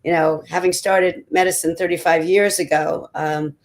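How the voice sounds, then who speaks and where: A woman in her sixties, a doctor on a panel, talking in an energetic, emphatic way. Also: fairly low pitch, normal speed. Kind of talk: panel discussion answer.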